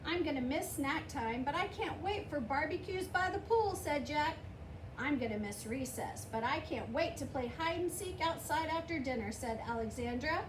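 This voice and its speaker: A woman's voice: high voice, expressive, normal speed. A woman reading a children's picture book aloud, giving the dialogue expression. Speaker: storybook reader. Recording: roomy, distant mic, clear background noise.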